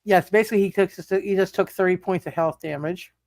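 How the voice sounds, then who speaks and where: A man's voice: high voice, quick pace, vocal fry, darkish tone, dry recording. A man narrating a live tabletop superhero game as game master, stammering and often repeating his words.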